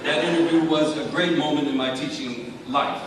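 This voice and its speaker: A man's voice: a little high, fairly even intonation. Echoey, distant man giving a formal speech. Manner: measured, formal, articulate, dry wit. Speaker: high school social studies teacher giving award speech. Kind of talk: prepared speech, wryly humorous tribute. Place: large hall at an awards ceremony. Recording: very echoey hall, far from mic, faint noise.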